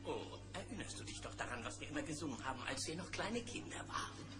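A woman's voice: low voice, animated, fast talker, loud music behind the voice. A woman playing a bumbling, eager magician gummi bear with a flustered, hesitant manner in a German children's audio play. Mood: nostalgic.

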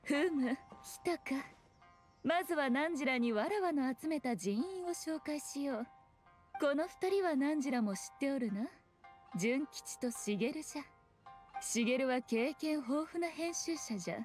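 A haughty fox shrine maiden, high-pitched and composed. She speaks in lordly, archaic phrasing like a sly game character who knows more than everyone, over soft music. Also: lively intonation, unhurried. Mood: composed, authoritative. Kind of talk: scripted video game dialogue.

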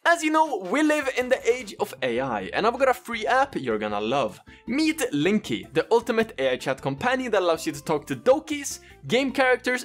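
An animated, expressive presenter delivers a scripted app promotion at a medium pitch. Light music plays underneath the voice. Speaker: sponsor segment presenter. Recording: music behind the voice.

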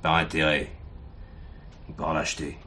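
Very deep-voiced, gruff police commissioner. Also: male voice, monotone, a little slow, pushed and bright, heavily acted. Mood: hard, accusing. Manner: blunt, sardonic, bullying. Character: gruff, hard-nosed male police commissioner interrogating suspects. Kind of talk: French radio crime drama acting. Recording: noisy old radio drama recording.

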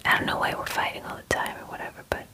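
A woman in her twenties whispering softly and breathily in a gentle, casual, chatty ASMR roleplay, close to the microphone. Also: rapid-fire. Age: 20s. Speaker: ASMR roleplayer playing a werewolf spa groomer. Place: small dim room indoors.

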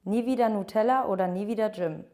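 A woman interviewer firing off quick, teasing questions in a studio. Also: mid-range voice, a little mellow. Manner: brisk, teasing question delivery.

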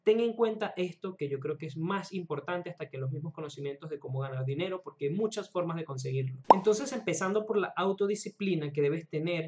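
A man's voice: high-pitched, a little mellow. A man in his twenties talking directly to camera as an online host, explaining self-improvement advice earnestly in a small room at home. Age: 20s.

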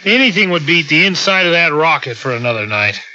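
A cheerful, teasing spaceship crewman, high-pitched and animated. The radio play sounds muffled and noisy. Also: fast, a crowd in the background. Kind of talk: radio drama acting.

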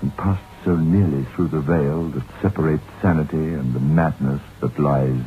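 An actor playing a brooding, reflective novelist who tells his haunted tale in measured 1960s radio drama style, on a tinny, noisy old recording. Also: extremely low pitch, steady tone.

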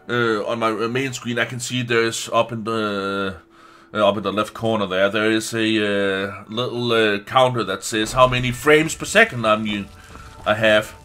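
A man who is not a native English speaker gives casual gameplay commentary, haltingly searching for words and swearing now and then. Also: medium pitch, pushed and bright. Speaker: let's play host.

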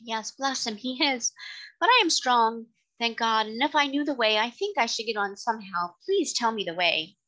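A woman's voice: fairly high pitch, animated, moderate pace, sharp tone, voice pushed up, low-quality recording. A woman voicing an anxious, humble young mother from the country, polite and pleading, in a storybook. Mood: earnest, resolute.

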